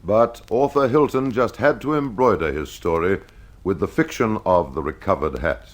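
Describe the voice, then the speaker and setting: A man with a low-pitched voice narrates a detective mystery in the style of old-time radio. He is a measured, dramatic storyteller who speaks directly to the listener as the programme's host.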